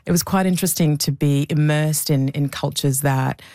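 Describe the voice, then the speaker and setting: A woman from Zambia talks about her documentary in a gravelly voice, thinking aloud and circling back over her words. The sound is dry and close. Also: low-pitched, normal speed, darkish tone, no room sound. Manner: thoughtful, with restarts and repeated words. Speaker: documentary maker, guest. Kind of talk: conversational interview.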